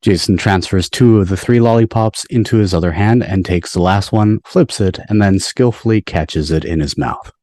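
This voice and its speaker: A man's voice: sits low, normal speed, few pauses, a little mellow, dry recording. A man reading scripted stage directions at a table read, recorded over a video call. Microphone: video call microphone.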